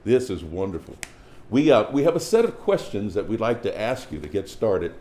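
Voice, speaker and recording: A man's voice: lowish voice, very animated, moderate pace, warm tone. A man moderating a panel discussion, introducing guests with eager emphasis. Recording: roomy echo with faint ambience.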